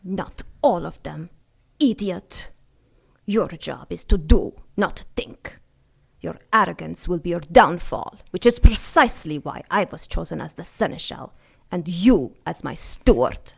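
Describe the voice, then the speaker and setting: An imperious female cult leader, with a lowish voice and a marked creak. She speaks with scorn and command, treating her underlings as fools in classic pulp radio-drama fashion. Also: lively intonation, a little slow, heavily acted. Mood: cold contempt. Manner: condescending, commanding, clipped orders.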